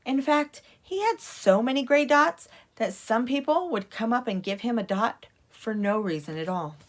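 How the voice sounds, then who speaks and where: A woman's voice: fairly high pitch, animated, moderate pace. A woman reading a children's storybook aloud expressively, like a teacher at story time.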